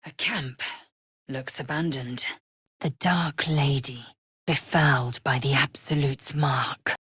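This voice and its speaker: A woman's voice: very deep voice, steady tone, takes it slowly, a bit bright, breathy edge, dry recording, studio quality, exaggerated voice. An actress playing a fierce, proud alien warrior woman in a video game, speaking in a clipped, imperious, scornful way. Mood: brooding, appraising. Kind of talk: video game character voice lines.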